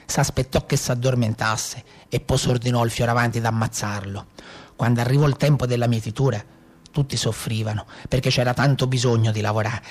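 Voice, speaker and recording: A man's voice: medium pitch, natural voice. An actor playing an unrepentant brigand leader from the Maremma, telling his outlaw life in a colloquial, matter-of-fact way with a Tuscan accent, in a radio play. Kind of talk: theatrical first-person monologue in a radio play.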